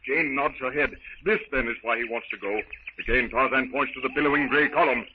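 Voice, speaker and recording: A man narrating a 1930s radio serial in a dramatic, theatrical, deliberate manner. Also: medium pitch. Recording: noisy muffled 1930s radio recording.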